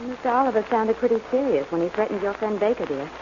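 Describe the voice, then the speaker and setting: Actress as fond, fretful American wife, animated range, vintage radio style, muffled recording. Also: female voice, medium pitch, quick pace, slight background noise, lightly acted. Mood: worried. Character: affectionate, teasing, worrying wife of a bowler. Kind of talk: 1940s radio acting.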